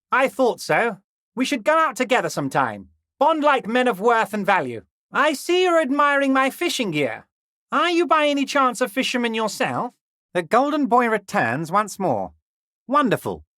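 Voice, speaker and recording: A corrupt, scheming union boss for a video game, his voice pitched unusually high for a male and swinging theatrically up and down. He is oily and patronizing, full of false friendliness, in a dry, close recording. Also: pushed and bright, no room sound, exaggerated voice. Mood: amused.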